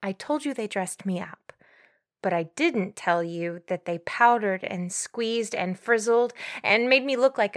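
A woman's voice: medium pitch, a bit bright, close to an everyday voice. A woman playing an earnest, bashful young woman who confides guiltily in an audiobook drama. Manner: confessional, self-reproachful. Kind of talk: dramatized audiobook character acting.

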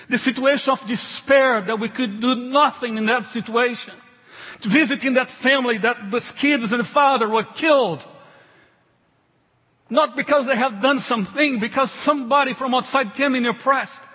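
A middle-aged male pastor delivers a sermon in accented, non-native English. His voice is high and husky, and he speaks emphatically but haltingly, doubling back on phrases. It rings with long reverb in a large hall. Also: a bit bright. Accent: foreign. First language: not English. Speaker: pastor preaching.

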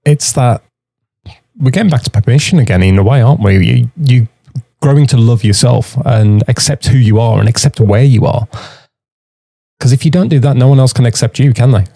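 A man's voice: medium pitch, fairly quick, vocal fry, dark tone, no room sound, studio quality. A man hosting a podcast conversation, agreeable and chatty, often ending points with tag questions. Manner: agreeable, uses tag questions, many fillers. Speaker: podcast host.

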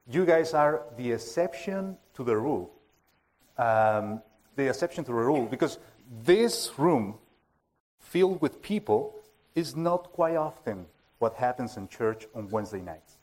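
Halting preacher with a Spanish accent. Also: male voice, medium pitch, darkish tone. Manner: halting and self-joking, many fillers and restarts. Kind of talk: informal sermon to a congregation. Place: church hall with a full audience.

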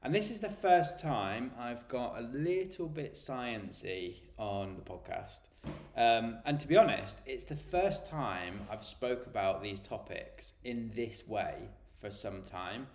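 A man's voice: medium pitch, animated, slow, a little mellow, reverberant. A man, a health and nutrition coach, hosting a solo podcast and explaining things in a chatty, plain way while stuffed up from a cold.

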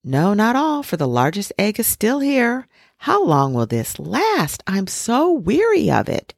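A woman voicing a mother duck who is weary but proud and protective, with a fussing, gently instructive manner. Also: mid-range voice, huge pitch swings, measured pace, creaky, dry recording, clean studio sound, pitched above the performer's own voice, full character voice. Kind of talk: storybook reading.

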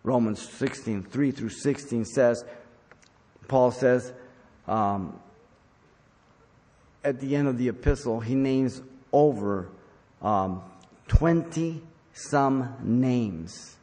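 An older man delivers a sermon to his congregation in an earnest, exhorting manner. He speaks at a medium pitch and repeats phrases to drive them home. His voice rings with the long reverb of a large hall. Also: slow pace, pauses often, dark tone. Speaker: pastor preaching to his congregation. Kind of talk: sermon with scripture reading.

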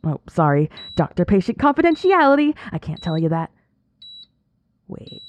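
A woman's voice: fairly low pitch, sing-song, moderate pace, darkish tone, lo-fi sound, slight background noise. A woman playing a chatty, saccharine nurse with an unsettlingly careless manner in an audio drama. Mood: gossipy, careless. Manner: sugary endearments, breezy, chattering.

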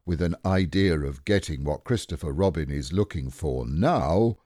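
A man's voice: a bass voice, animated, moderate pace, warm tone, dry recording, clean studio sound. A man voicing a gloomy, self-important donkey who speaks in a pompous, stern, ponderous manner.

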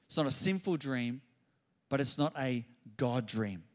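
A middle-aged man preaching a sermon, telling a story and building to loud exclamations. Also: mid-range voice, lively intonation, a little slow. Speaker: pastor.

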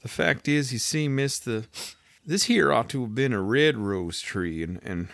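A man voicing nervous, quarrelsome playing-card gardeners in an audiobook, with rough working-class speech. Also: medium pitch, animated, measured pace, fairly bright tone, no room sound, clean studio sound, voice pushed up. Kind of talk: audiobook character voices.

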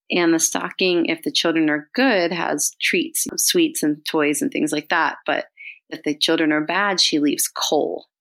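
A woman leaving an upbeat, chatty voice message as a podcast contributor, slowing down to stress points. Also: deep voice, gravelly, a bit bright. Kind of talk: recorded voice message.

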